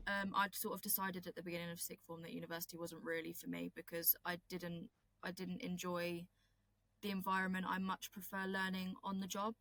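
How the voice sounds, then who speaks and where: A woman's voice: medium pitch, fairly bright tone, no echo. A woman in her late teens, an apprentice guest, answering interview questions politely and with a little hesitation. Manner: polite, measured, slightly hesitant.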